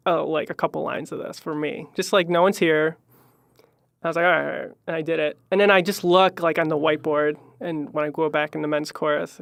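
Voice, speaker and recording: A young man from Chicago with a high voice, rambling through his story with lots of fillers and little trailing-off phrases. His voice is higher than most men's and has a gravelly fry in it. He is recorded close up in a dry room with no echo. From Chicago, Illinois. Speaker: musician guest. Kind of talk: casual podcast interview.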